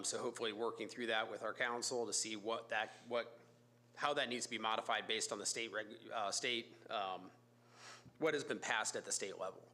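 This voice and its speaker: A man with a raspy voice from a cold, a company executive making a casual, filler-heavy pitch to a city council. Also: sharp tone. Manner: informal, fillers and restarts, brisk business pitch. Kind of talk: public comment at a council meeting. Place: council chamber.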